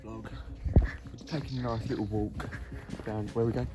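A man's voice: fairly low pitch, flat delivery, moderate pace, over loud music. A man in his twenties chatting casually and offhand on a vlog while out walking. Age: 20s. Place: outdoors on a walk.

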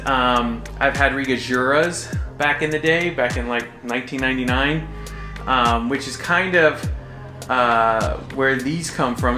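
An American man in his forties from Texas giving a casual, halting but enthusiastic product overview to camera. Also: mid-range voice. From Texas, United States. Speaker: audio shop presenter. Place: small indoor room, standing behind display table. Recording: roomy echo, faint music.

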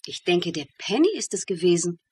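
An actress playing a witty, ironic feminist academic, wry and mock-formal, in a German radio crime play. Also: female voice, on the low side, expressive, fairly quick, a little mellow, slight background noise, natural voice. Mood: mock-stern.

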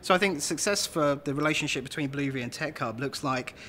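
A man's voice: a little high, brisk, bright, forceful tone. A man in his thirties speaking as a company representative in an informal interview. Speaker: developer programme representative. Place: large open-plan office, indoors.

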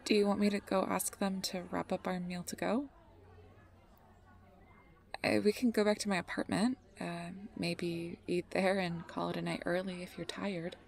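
Casting: a woman as a possessive fey girlfriend for ASMR roleplay, intimate and breathy, lowish pitch with heavy vocal fry. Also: little pitch movement, moderate pace. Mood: gently concerned. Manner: intimate, doting, often breathy whispering. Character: obsessive, sweet-talking fey woman posing as a devoted lover. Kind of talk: ASMR roleplay, close personal attention.

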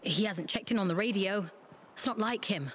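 An actress playing a worried woman searching for a missing friend, speaking anxiously and haltingly. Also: mid-range voice, a bit bright, slight background noise, natural voice.

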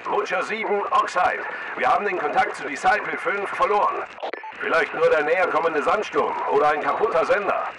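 A man's voice: a little high, fairly quick, background noise, natural voice. A man playing a clipped, authoritative military command-post radio operator in a video game. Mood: commanding, urgent.

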